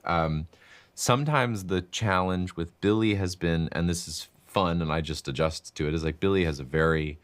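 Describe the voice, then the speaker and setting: A young man with a very deep voice talks loudly in a relaxed interview. He talks in quick, animated bursts and leans hard on filler words. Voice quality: loud voice. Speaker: guest musician and composer. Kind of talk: casual interview chat.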